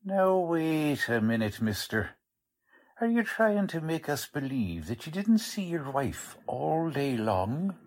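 A man playing a blunt, skeptical police sergeant with folksy slangy speech and a husky voice, in 1940s radio drama style. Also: on the high side, big pitch swings, slow, heavily acted. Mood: puzzled, challenging. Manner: blunt, folksy working-class cop talk.

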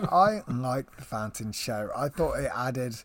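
A man's voice: medium pitch, lively intonation, slow, dry recording. A young man co-hosting a podcast, enthusiastic and giggly, joking along. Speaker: podcast co-host. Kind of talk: casual chat.

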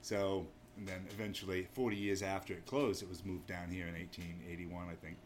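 A man's voice: sits low, level tone, brisk. An American man from Maine chatting casually as an interview guest, in a hesitant, modest, understated way. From Maine, United States. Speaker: interview guest, inn owner. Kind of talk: casual chat. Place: small pub off an inn lobby. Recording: faint background noise.

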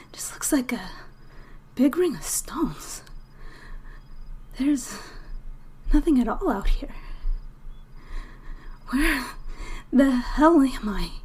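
A woman playing a frightened, shaken woman stranded alone, muttering to herself in a halting, hushed, breathy voice in an audio drama. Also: a little high, full character voice. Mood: panicked, whispering.

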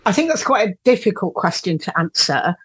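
A woman's voice: mid-range voice, big pitch swings, fairly quick, husky, no room sound. A woman in her sixties, speaking thoughtfully and carefully as a guest on a discussion podcast. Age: 60s. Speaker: guest observer.

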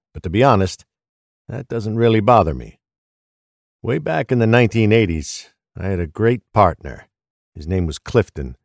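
An actor playing a weary middle-aged police detective, a recovering alcoholic, confessing in a subdued, reflective way in an audio drama. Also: male voice, low-pitched, darkish tone, no echo, studio quality.

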